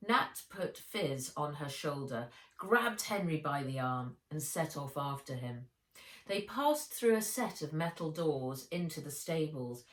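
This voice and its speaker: A woman author reading her children's adventure book aloud in an expressive storytelling style. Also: low-pitched, unhurried, far from the mic. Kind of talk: expressive children's audiobook narration. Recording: roomy, echoey room sound.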